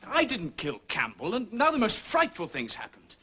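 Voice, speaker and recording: An actor playing an agitated, embarrassed upper-class English gentleman in a scrape, blurting and exclaiming in a period radio drama. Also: high voice, wildly swooping pitch, moderate pace, breathy edge, heavily acted.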